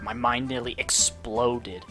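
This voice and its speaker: A woman game streamer giving casual, expressive, giggly let's play commentary. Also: a bass voice, slow, soft background music.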